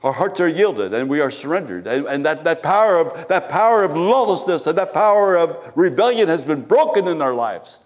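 A man preaching a sermon at a church service, swinging from emphatic exhortation to low, stammered asides. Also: lively intonation, moderate pace, few pauses. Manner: rises to emphatic exhortation, then drops low, with stammered repetitions.

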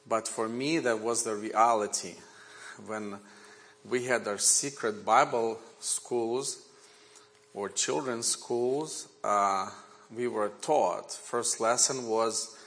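A man in his forties with a Ukrainian accent, a native Ukrainian speaker, preaching a sermon woven with his own testimony in an earnest, measured way. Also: fairly low pitch, fairly bright tone. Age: 40s. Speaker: guest preacher.

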